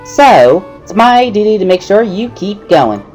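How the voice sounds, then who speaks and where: A woman puts on a bubbly schoolgirl tutor from a visual novel, pitched up and swooping with enthusiasm. She is perky and pushy, giggles readily and leans hard on her words. Music plays behind her. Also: big pitch swings, darkish tone. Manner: giggly, perky, emphatic, with drawn-out laughs. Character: bubbly, pushy schoolgirl, earnest amateur sign language tutor.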